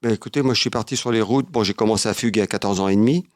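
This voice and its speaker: A man answering as an interview guest, earnest and philosophical, often halting and repeating his words. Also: fairly low pitch, a little mellow, no room sound, studio quality. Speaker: interview guest, travel writer. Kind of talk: reflective conversational interview.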